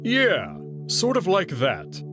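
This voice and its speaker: A man's voice: high-pitched, wildly swooping pitch, over music, voice pushed up, heavily acted. A man voicing a proud, guarded alien man. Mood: calm. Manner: measured and slow, prideful about his species. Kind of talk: visual novel reading.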